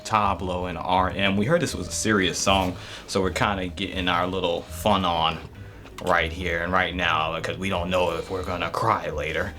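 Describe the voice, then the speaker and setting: A man's voice: low-pitched, level tone, normal speed, bright, forceful tone. An American man hosting a music reaction, chatting casually in a laid-back, slangy way. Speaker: music video reaction host. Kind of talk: casual reaction commentary chat.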